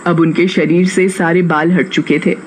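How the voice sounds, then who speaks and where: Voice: medium pitch, flat delivery, moderate pace, low-quality recording. A narrator telling a scripted folk tale in a storytelling style. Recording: faint background noise.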